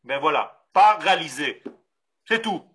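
Lively Israeli rabbi teaching in French. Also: male voice, fairly high pitch, unhurried, slightly rough. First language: Hebrew. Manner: animated, mixes Hebrew terms, rhetorical questions. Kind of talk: Torah lesson.

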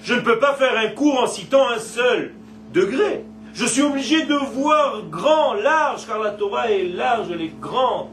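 High-voiced man teaching in an echoey room. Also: a little slow, far from the mic, a little hiss or hum. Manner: animated, playful asides, draws out words. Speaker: Torah teacher. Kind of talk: religious class lecture.

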